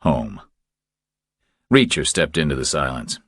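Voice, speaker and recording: A man's voice: very deep voice, lively intonation, a little slow, creaky, a bit bright, dry recording, clean studio sound. A man narrating a thriller audiobook from a script.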